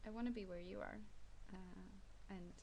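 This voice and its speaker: A woman's voice: mid-range voice, fairly even intonation, normal speed, dark tone, a little hiss or hum, low-quality recording. A young Swedish woman, not a native English speaker, talking hesitantly in a casual personal vlog, with many fillers and restarts. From Sweden. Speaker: vlogger.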